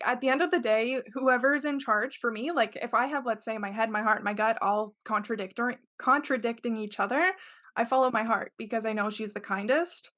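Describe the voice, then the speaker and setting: A woman's voice: on the high side, a bit bright. A woman talking in a casual interview, earnest and rambling, with lots of filler words. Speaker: podcast guest.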